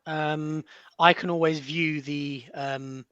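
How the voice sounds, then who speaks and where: A man's voice: a little high, steady tone, takes it slowly, bright, forceful tone, clean tone, no echo. A man helping out technically on a webinar, explaining something casually and hesitantly. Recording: dull, muffled call audio.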